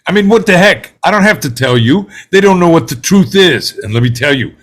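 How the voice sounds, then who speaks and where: A man performs an angry, prejudiced juror in a stage drama read-through. He rants in blunt colloquial speech, hectoring and insistent, with a desperate edge. The voice sits slightly high for a man. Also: expressive, fairly quick, fairly bright tone. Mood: scornful. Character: angry bigoted male juror ranting, growing desperate.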